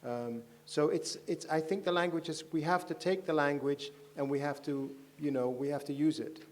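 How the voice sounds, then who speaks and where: A man speaking on a festival panel, thoughtful and halting, with many restarts. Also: a little high, fairly even intonation. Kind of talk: panel discussion. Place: large festival stage.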